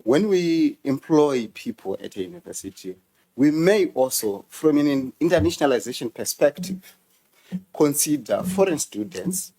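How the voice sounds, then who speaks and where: A man from Zimbabwe who works as a university official, testifying before a committee with hesitant, halting answers. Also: a little high, sing-song, warm tone, poor audio. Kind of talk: formal testimony.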